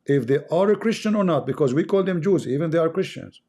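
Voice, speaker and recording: A man's voice: a little high, lively intonation, quick pace, dark tone. Emphatic Arabic-accented man preaching. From Middle East. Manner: emphatic, preachy, repeats for effect. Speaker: livestream host. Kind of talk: impassioned religious monologue.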